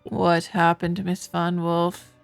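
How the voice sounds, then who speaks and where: A woman's voice: on the low side, completely flat delivery, slow, gravelly, smooth. Woman playing a polite, firm guard. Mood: calm, firm. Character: calm, dutiful male guard, polite and firm. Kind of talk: visual novel voice acting. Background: music.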